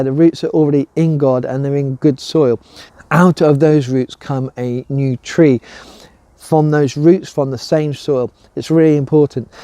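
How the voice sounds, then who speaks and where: A man's voice: medium pitch, dark tone, no echo. Man giving a devotional talk, dry sound. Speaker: curate giving an Advent message.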